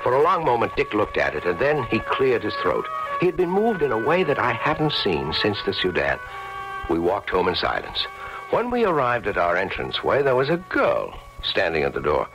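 An actor playing a thoughtful, concerned friend who tells the story in measured, dramatic old radio style. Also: male voice, on the low side, wildly swooping pitch, over music, low-quality recording. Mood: reflective, dramatic. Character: concerned, reflective male friend narrating the painter's story.

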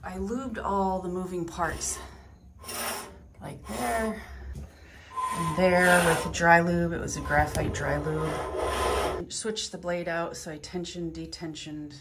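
A woman explaining her woodworking tools in a relaxed, step-by-step way, recorded in her home garage workshop. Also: lowish voice, takes it slowly, heavy background noise. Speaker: woodworking vlogger. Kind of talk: casual how-to narration.

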